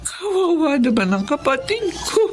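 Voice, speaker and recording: A woman's voice: sits high, big pitch swings, a little slow, over music. A woman playing a caring, emotional middle-aged mother in a Filipino radio drama, with a Filipino accent. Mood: emotional, longing.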